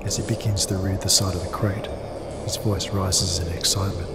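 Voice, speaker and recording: A man reading scripted cinematic sci-fi narration in a solemn, measured manner. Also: deep voice, level tone, echoey room, in a large hall, very noisy.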